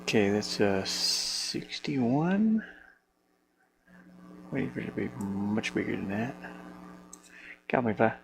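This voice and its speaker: A man talks to himself casually while working at a computer, in short muttered fragments. His delivery is slow, with a lot of gravelly fry in a mid-pitched voice. The recording has clear background noise, with hum and clicking. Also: sing-song. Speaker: game developer narrating own work.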